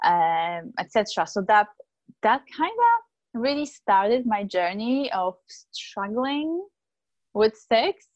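A woman's voice: medium pitch, no echo, rough recording. A woman from Poland speaking about her work as a guest, in earnest, flowing answers. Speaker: tantra teacher and guest. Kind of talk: conversational interview answers.

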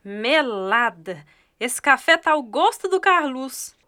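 A woman's voice: sits high, big pitch swings, slow, pushed and bright, heavily acted. A woman acting in a Brazilian radio drama as a bitter, longing fifty-one-year-old retired detective, with a Brazilian accent. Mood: grumbling.

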